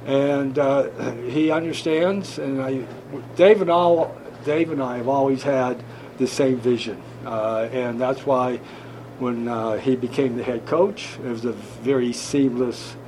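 A man, a team president, speaking in an interview clip, hesitant with frequent fillers and throat clearing. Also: mid-range voice, steady tone, unhurried, background noise.

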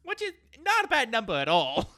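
High, bright-voiced man hosting a podcast. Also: huge pitch swings, brisk, dry recording. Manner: chatty, informal, rambling sign-off. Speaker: podcast host. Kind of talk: casual podcast chat.

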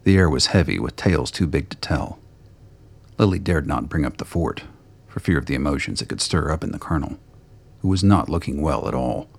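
A man reading scripted narration for a radio play in a deep, gravelly voice.

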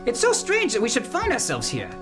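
Woman as a high, animated band manager. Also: brisk. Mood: earnest. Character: fretful, sarcastic band manager. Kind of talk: comic amateur radio play acting. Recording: music under the dialogue.

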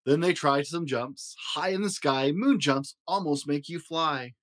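A man hosting a story podcast, reading a rhyming children's picture book aloud in an expressive, rhythmic way. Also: fairly high pitch, measured pace, slight background noise. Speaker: podcast host and story reader.

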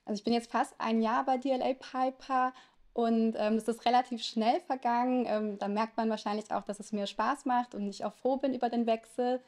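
A German-raised woman in her thirties, Italian her first language, talks through her legal career in a relaxed interview. Her voice sits slightly high. She hesitates often with fillers but keeps a friendly, chatty flow. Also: normal speed. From Lower Saxony, Germany. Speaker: lawyer, podcast guest. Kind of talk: conversational interview answers.

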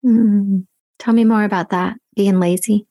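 A woman hosting a podcast and giving an intuitive reading, her voice a little raspy today, talking in a gentle, unhurried way full of imagery. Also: medium pitch, fairly even intonation. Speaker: podcast host and intuitive reader. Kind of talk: guided intuitive reading, descriptive.

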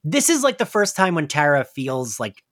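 A man's voice: fairly high pitch, very animated, moderate pace, fairly bright tone. A man co-hosting a podcast, chatting casually about an audio drama with lots of "like" and trailing asides. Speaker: podcast co-host.

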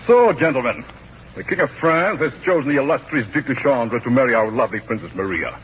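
An actor playing a treacherous, ambitious Spanish general in a 1940s radio drama, suave and menacing in manner. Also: male voice, medium pitch, expressive, a little fast, slightly rough. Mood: scheming. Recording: muffled 1940s radio broadcast, faint noise.

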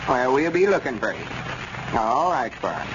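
A small-town storekeeper from the rural American South, a cautious peacemaker with a hesitant, folksy drawl and a mid-range voice. It is 1930s radio comedy, muffled and heavily covered in noise. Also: male voice, mid-range voice, sing-song, measured pace. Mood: cautious, polite.

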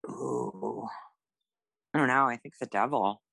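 A woman's voice: a bass voice, takes it slowly, creaky, fairly bright tone, breathy edge, no echo. A woman chatting casually as a podcast co-host, playful, irreverent and self-deprecating. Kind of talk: casual banter on a tarot quiz podcast.

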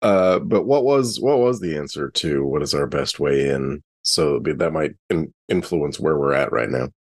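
A man's voice: rumbling low, animated, moderate pace. A man playing a tabletop roleplaying game, talking casually and reasoning things out aloud.

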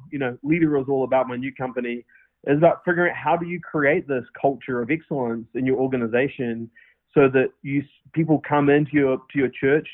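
A man's voice: mid-range voice, dry recording. New Zealand man on a tinny line. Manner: earnest, many restarts and fillers. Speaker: podcast guest, company founder. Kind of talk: casual interview answers. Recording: tinny phone-line audio.

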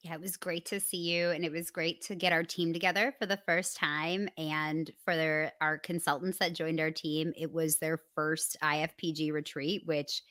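A woman co-hosting a business podcast, talking casually and at length in an upbeat, loud way, a little hoarse. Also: low voice, little pitch movement, normal speed, hardly any pauses, dry recording, clean studio sound. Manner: loud, upbeat, talks through ideas at length. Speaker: podcast co-host.

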